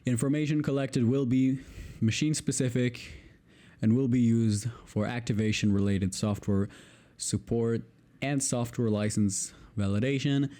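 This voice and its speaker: Man, israeli accent, hebrew native, mellow tone, halting read-aloud, exasperated swearing. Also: medium pitch, steady tone. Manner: stumbling over long words, exasperated swearing asides. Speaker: video creator. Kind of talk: reading a legal text aloud.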